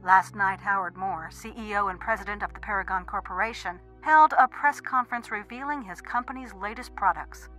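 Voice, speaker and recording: Female news anchor, played by an actress: bright, crisp projection, polished and sombre, radio drama, light music underneath. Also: medium pitch, brisk, hardly any pauses, natural voice, rough recording. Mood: composed, sombre.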